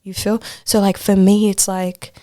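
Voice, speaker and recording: A woman's voice: mid-range voice, level tone, unhurried, dark tone, dry recording, clean studio sound. A woman chatting casually as an interview guest, informal and emphatic, repeating phrases for stress. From Australia. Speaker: podcast guest, poet and social worker.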